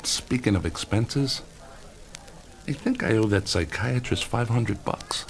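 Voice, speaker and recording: An actor playing a cocky, evasive author of UFO books, sarcastic and defensive, in a radio drama. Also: male voice, fairly low pitch, expressive, a little slow, vocal fry. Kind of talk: modern radio drama dialogue.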